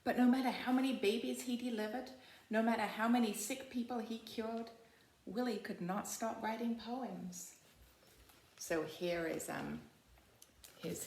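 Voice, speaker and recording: A woman reading a picture book aloud like a teacher, in expressive storytelling with casual, simply worded asides and deliberate, phrase-by-phrase delivery. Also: mid-range voice, gravelly. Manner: explains to young listeners in simple, informal words. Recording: roomy, echoey sound.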